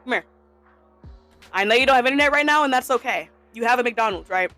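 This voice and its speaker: A woman's voice: a little high, animated, fast, sharp tone, faint music behind. A woman in her twenties, a podcast guest, chatting casually and playfully with jokes and teasing. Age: 20s.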